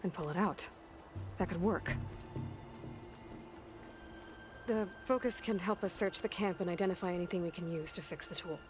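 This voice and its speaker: A woman's voice: fairly low pitch, brisk, natural voice. An actress playing a determined, resourceful young woman hunter in a video game, musing aloud in a matter-of-fact way. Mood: resourceful, pondering. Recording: game music behind the voice.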